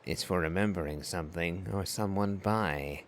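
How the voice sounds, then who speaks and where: A man doing casual playthrough commentary and reading along, in a musing, unhurried way. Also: deep voice, slight background noise.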